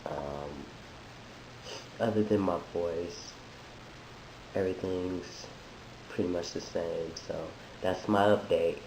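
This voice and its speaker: A man who is sick, talking in a halting, thoughtful way with many restarts, in a reflective personal monologue. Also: sits low, fairly even intonation. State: sick, voice deeper than usual. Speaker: vlogger sharing a transition update. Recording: echoey room with faint hiss.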